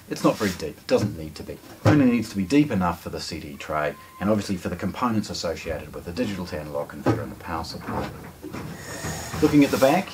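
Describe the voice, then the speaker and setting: Deep, animated New man presenting. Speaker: hi-fi shop presenter. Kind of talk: unscripted product unboxing presentation. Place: hi-fi shop showroom, indoors. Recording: distant camera mic, faint noise.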